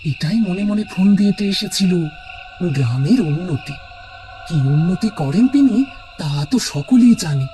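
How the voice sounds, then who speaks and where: A sceptical, sharp-tongued village wife from a cartoon, acted by a man. The voice is high and scornful, and light music plays underneath. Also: lively intonation, normal speed.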